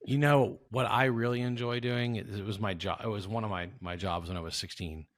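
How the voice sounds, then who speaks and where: Low-voiced American man hosting, dry close sound. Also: fairly even intonation, brisk, dry recording. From South Louisiana, United States. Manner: chatty, joking. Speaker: podcast host. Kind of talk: casual interview chat.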